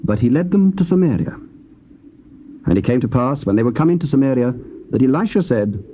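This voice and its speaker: A man narrating scripture in a measured, stately reading. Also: mid-range voice, expressive, mellow. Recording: faint tape hiss.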